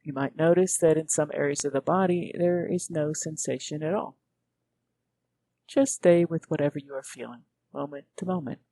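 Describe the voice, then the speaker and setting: A woman guiding a meditation as a teacher, speaking gently in measured, unhurried phrases with pauses. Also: low voice. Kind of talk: guided meditation narration.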